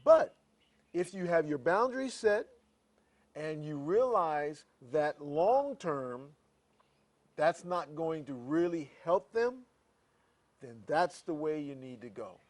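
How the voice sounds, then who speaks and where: A man's voice: a little high, lively intonation, slow pace, long pauses. A man hosting a talk show, speaking earnestly and appealing directly to viewers. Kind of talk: hosted talk segment.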